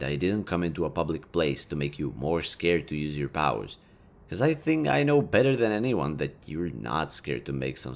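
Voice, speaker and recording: A man's voice: very deep voice, gravelly, full character voice. A man playing a smug, sardonic city supervillain with a caring streak, speaking to the listener in a casual, sweary audio roleplay. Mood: grudging concern. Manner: taunting, casual, sweary, theatrical.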